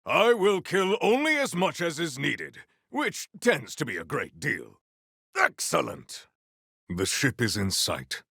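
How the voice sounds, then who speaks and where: An actor playing a noble, stern warrior in a video game, speaking with formal, grandiose deadpan deliberation. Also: male voice, on the high side, takes it slowly, creaky, slightly rough, no echo, studio quality, exaggerated voice. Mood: grave, deadpan, then resolute. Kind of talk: theatrical video game character acting.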